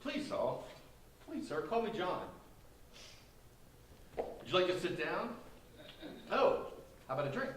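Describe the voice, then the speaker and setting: Accommodating, eager male innkeeper, man at a staged reading, lively intonation, distant mic. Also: high-pitched, measured pace, long pauses. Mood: eager, anxious. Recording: very echoey room, distant mic, faint noise.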